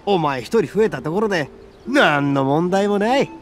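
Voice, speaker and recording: An actor playing a boastful, brash young male oni gang leader in a game cutscene, with a rough, swaggering way of talking and a gravelly edge. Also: high voice, lively intonation, normal speed. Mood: reassuring. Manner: swaggering, rough-spoken, self-proud. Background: soft game music.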